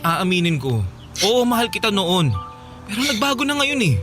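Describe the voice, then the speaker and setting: A man's voice: sits high, big pitch swings, moderate pace, loud music behind the voice. An actor playing an earnest young man who firmly refuses a former love, emphatic and reasoning, in a radio drama. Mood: regretful. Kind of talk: Filipino radio drama acting.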